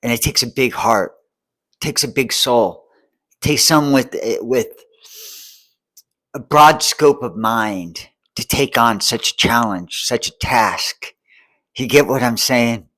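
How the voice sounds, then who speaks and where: Man, 40s, middle-pitched voice, earnest, rambling, repeats and restarts phrases, determined vlog monologue. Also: slow pace, fairly bright tone. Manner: rambling and repetitive, many restarts; checks in with the listener often. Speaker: vlogger and homelessness advocate. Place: Seattle.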